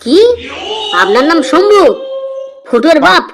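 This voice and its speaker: A high-voiced, cheeky cartoon street vendor played by a woman, hawking and needling in repetitive patter. Faint music runs behind. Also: big pitch swings, a little slow, heavily acted. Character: cheeky paratha vendor haggling with customers. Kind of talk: comedy cartoon dialogue.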